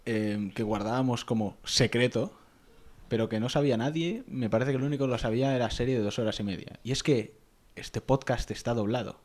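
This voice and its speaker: Brief: male co-host, mid-pitched, quick wry delivery, casual banter. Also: darkish tone. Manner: quick, wry, reads listener mail. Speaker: podcast co-host.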